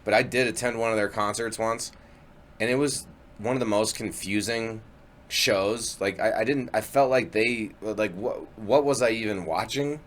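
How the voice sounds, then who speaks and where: A man co-hosts a relaxed studio podcast, his voice a bit low. He stumbles and restarts often as he tells a story in good humor. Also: moderate pace. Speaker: podcast co-host. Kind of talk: casual chat.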